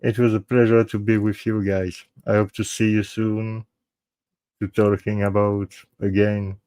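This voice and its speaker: A Belgian man with a French accent, native French speaker, talks slowly in a fairly low voice. His English comes out halting and full of restarts, earnest and friendly, with bits of French mixed in. The sound is close and dry, with no room echo. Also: flat delivery, dark tone, clear, resonant tone. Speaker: host of a true crime live show. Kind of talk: casual live-stream sign-off.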